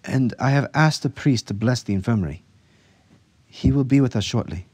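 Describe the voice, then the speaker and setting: A man's voice: mid-range voice, normal speed, a little mellow, husky, dry recording, voice pushed up, close to an everyday voice. A man voicing a firm, earnest female healer with a formal, insistent manner in an audiobook story. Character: earnest, firm healer sister, a woman of authority.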